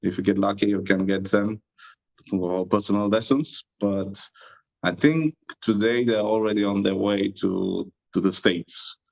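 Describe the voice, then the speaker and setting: A man's voice: lowish voice, steady tone, a little slow, gravelly, a little mellow. A man with an Estonian accent, answering interview questions in an easygoing, modest way. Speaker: guest, disc golf YouTuber. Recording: muffled lo-fi audio.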